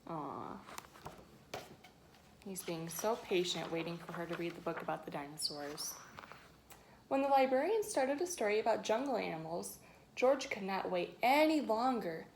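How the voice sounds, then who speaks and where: A woman reads a picture book aloud in a teacher's storytelling style for young children. Her voice sits at a medium pitch and she is expressive, addressing the listeners directly. There is faint noise behind her in the recording. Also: normal speed, long pauses.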